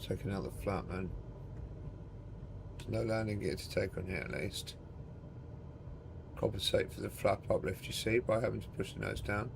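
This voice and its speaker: A man with a gruff, fry-laden voice narrates his own gameplay in a loose, joking way, swearing now and then. He mutters to himself and speaks to his chat. Loud aircraft engine noise roars under his voice. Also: fairly low pitch, fairly even intonation, normal speed, long pauses. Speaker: game streamer. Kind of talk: casual live commentary while playing a flight simulator.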